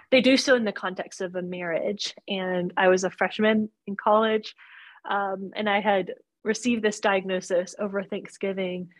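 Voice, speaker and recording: A woman's voice: mid-range voice, no room sound. Earnest woman in a dry room. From born in Romania, raised in North Carolina. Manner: earnest, thoughtful, with many fillers. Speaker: guest author. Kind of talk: casual interview.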